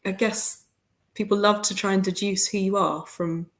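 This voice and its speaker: A woman with a London accent, an articulate and expressive podcast guest in casual conversation who laughs often. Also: mid-range voice, moderate pace, reverberant. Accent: London English. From London, England. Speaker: podcast guest, theatre maker.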